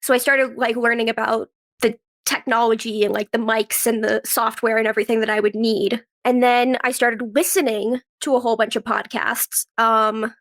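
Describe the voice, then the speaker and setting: A young woman with a fairly high voice, speaking while she has a cold. She talks in a casual, conversational interview style, dropping "like" often into her sentences as she explains things. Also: steady tone, moderate pace, fairly bright tone. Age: 20s. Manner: youthful, conversational, peppers talk with "like". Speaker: guest, actress and writer.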